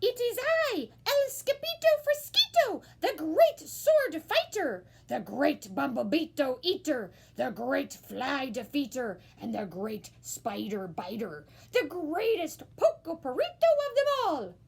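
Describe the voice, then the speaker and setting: A woman voicing an excitable, boastful little boy kitten in a children's storybook, with a theatrical, defiant delivery. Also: high voice, unhurried, vocal fry, few pauses, a little hiss or hum, voice pushed up, exaggerated voice. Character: excitable little boy kitten who believes he's a chihuahua.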